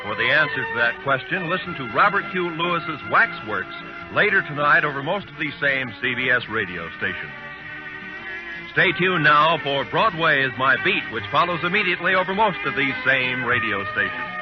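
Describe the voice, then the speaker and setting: A man announcing from a script in 1950s network radio style, with a husky voice. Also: a little high, big pitch swings, moderate pace. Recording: muffled old radio recording with faint music.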